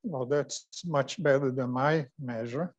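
A man's voice: mid-range voice, a little slow. An older man co-hosting a live cooking class in a kitchen, casually explaining and asking questions for viewers. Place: indoor kitchen. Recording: dull, muffled lo-fi live video sound.

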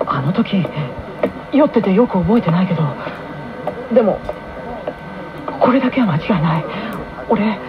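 A man's voice: high-pitched, expressive, moderate pace, long pauses, a crowd in the background. An actor playing a flustered, pleading teenage boy who stammers and hesitates, in a radio drama. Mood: earnest. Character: flustered, pleading teenage boy on trial over drunken mistake. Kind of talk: 1990s radio drama acting. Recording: muffled AM radio broadcast, music behind.

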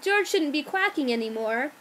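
A woman's voice: high-pitched, expressive, normal speed, a bit bright, a little hiss or hum. A woman playing a confident, self-assured veterinarian in a children's storybook, speaking in firm declarations. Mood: puzzled, determined.

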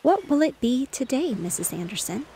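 A woman voicing a small boy playing shopkeeper, earnest and bossy, in a soft whispery storybook reading. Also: high voice, big pitch swings, normal speed, a little hiss or hum.

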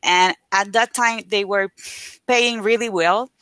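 A woman whose first language is Spanish, a guest on a live video call chatting casually about her work, with lots of "like" fillers and lively retelling. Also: medium pitch, slow pace, no echo. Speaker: guest, affiliate marketer. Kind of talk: casual interview chat.

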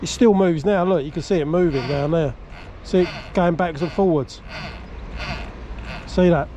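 Excitable high-voiced man outdoors. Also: lo-fi sound. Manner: excitable, laughing, thinking aloud. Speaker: vlogger. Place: outdoors on a river. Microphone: action camera. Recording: loud outdoor noise. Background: rushing water and outdoor noise.

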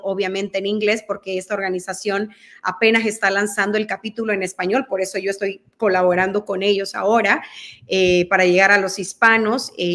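A woman's voice: medium pitch, fairly bright tone. Earnest woman with a Mexican-sounding accent. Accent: Mexican-sounding Spanish. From El Salvador. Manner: earnest, fervent, with many fillers and restarts. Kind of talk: video call interview. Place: dim room at home. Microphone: video call laptop mic.

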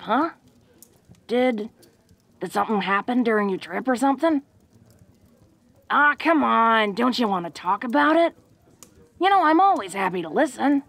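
A woman playing a tough but awkward, affectionate young orc woman in an intimate roleplay audio drama, talking in a folksy, halting way. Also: on the high side, fairly bright tone, exaggerated voice. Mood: tender, caring. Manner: folksy, halting tough-girl talk with small chuckles.

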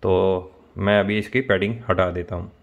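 A man's voice: low voice, steady tone, moderate pace, creaky, narrow, tinny audio, background noise. A man in his thirties explaining things step by step in a casual tutorial vlog. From India. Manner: explains step by step, addressing viewers. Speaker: motovlogger presenting a product installation. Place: small indoor room at home.

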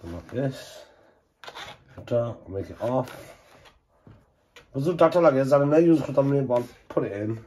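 A man's voice: medium pitch, animated, a little slow, pauses often, a little mellow. A middle-aged man chatting casually as he cooks in his home kitchen, thinking aloud and joking. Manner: chatty, thinks aloud, jokes and asks himself questions. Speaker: vlogger cooking at home. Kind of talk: casual chatty cooking narration. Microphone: camera over his shoulder, moving around the counter. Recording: faint background noise, frying sounds.

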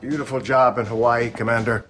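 An actor playing a solemn, statesmanlike middle-aged president with an American accent, speaking in a measured way in a game cutscene. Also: male voice, mid-range voice, level tone, fairly bright tone, faint music behind, lightly acted. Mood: grave, encouraging.

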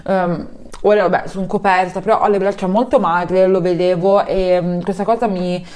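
A woman's voice: fairly low pitch, level tone, normal speed, a little mellow. A young woman talking casually to camera from her living room, chatty, with many fillers and self-corrections. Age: young adult. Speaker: vlogger. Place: bright living room at home.

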